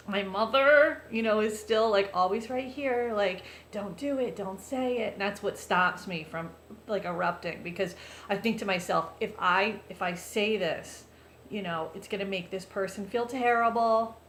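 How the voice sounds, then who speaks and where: A woman vlogger with a loud voice, talking earnestly and chattily to her viewers in a casual confessional way. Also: medium pitch, normal speed. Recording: roomy echo, faint background noise.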